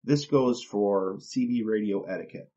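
A man hosting an instructional podcast, explaining things in a plain, deliberate, step-by-step way. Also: fairly low pitch, mellow. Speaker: podcast host. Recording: dull, lo-fi podcast recording.

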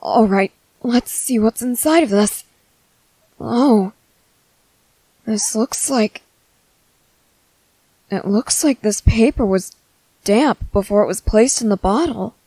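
A woman playing a gentle, curious young merboy in an ASMR roleplay, hesitant and musing to himself. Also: a little high, slow pace, pauses often, husky, no echo, heavily acted, rough recording. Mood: flustered, concerned. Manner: hesitant, musing to self, repeating words. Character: gentle, curious young merboy, soft-hearted and easily flustered.

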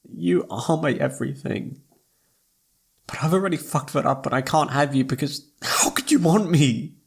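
A young man playing a lovesick, self-blaming best friend in a halting, emotional, intimate romantic audio roleplay, with a husky voice. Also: a little high, expressive, heavily acted. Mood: anguished.

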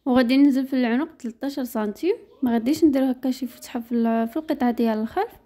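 Woman, Moroccan Arabic accent, sewing teacher doing a voice-over; quick, matter-of-fact, high and mellow. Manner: brisk, matter-of-fact, reading out measurements. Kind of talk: step-by-step sewing tutorial voice-over.

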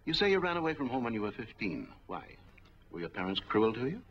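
An actor playing a middle-aged, skeptical bank officer, formal and probing, in a 1940s film drama. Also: male voice, mid-range voice, sing-song, fast, close to an everyday voice. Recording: noisy, dull 1940s film soundtrack.

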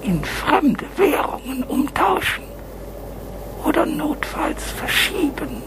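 An actor playing a mysterious, menacing male blackmailer in a crime radio play, whispery, deliberate and commanding. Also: very high-pitched, animated, exaggerated voice. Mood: cold menace. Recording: noisy vehicle ambience.

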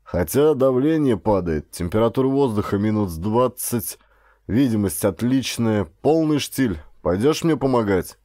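A man voicing a caring, gentle, cheerful father and polar scientist in an audiobook. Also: medium pitch, animated, unhurried, dry recording, clean studio sound, natural voice. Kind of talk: audiobook character dialogue.

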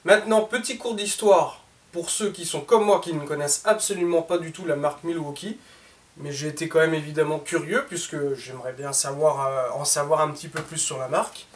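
A man's voice: a little high, steady tone, fairly quick. A man in his thirties chatting casually to his viewers about new tools in his home workshop. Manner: chatty, informal, addresses viewers as "les gars". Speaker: woodworking workshop host. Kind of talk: casual tool unboxing talk. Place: cluttered home workshop indoors. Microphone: camera mic from a distance. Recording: roomy echo, distant mic, faint noise.

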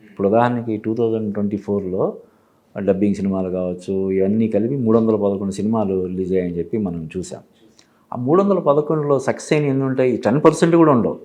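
A man giving explanatory commentary as an interview guest, halting and repeating phrases as he goes. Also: lowish voice, warm tone. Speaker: film industry analyst, studio guest. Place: TV studio.

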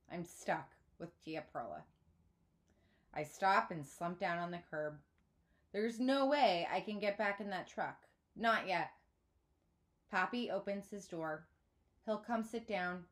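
A woman in her thirties narrating a children's book expressively, like a teacher reading aloud. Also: mid-range voice. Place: small indoor room at home.

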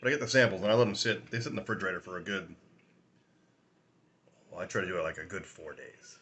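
A man in his forties with a raspy voice from a sinus infection, rambling casually through a whiskey tasting vlog at home, filming on a phone at arm's length. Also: low-pitched, fast, fairly bright tone, slight background noise. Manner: rambling, chatty, laughs often, many fillers. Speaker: whiskey review host. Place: small room at home, indoors.

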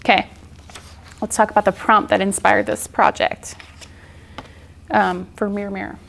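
A woman's voice: medium pitch, little pitch movement, normal speed, gravelly, fairly bright tone. A woman in her forties giving an informal, encouraging art journaling tutorial in a casual style. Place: indoor art studio, seated at work table.

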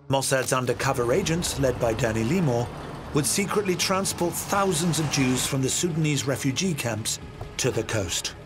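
A man narrating a documentary in a measured, scripted way. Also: mid-range voice. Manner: measured, even storytelling.

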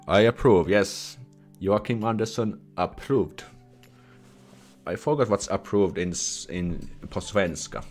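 A young Finnish man with a Finnish accent hosting a casual live stream at home, reading viewer comments and chatting, often restarting his words and slipping into Swedish phrases. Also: on the low side. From Finland. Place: small indoor room at home. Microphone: headset with desk mic in front.

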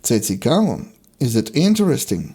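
A man's voice: mid-range voice, huge pitch swings, normal speed, mellow, slightly rough. A man teaching a language lesson, reading out short, separate example sentences in an instructional way. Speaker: English teacher.

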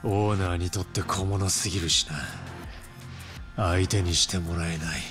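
A man playing a grave, composed middle-aged crime boss in a Japanese video game cutscene, speaking in a measured, solemn way. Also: sits low, fairly even intonation, over music, lightly acted.

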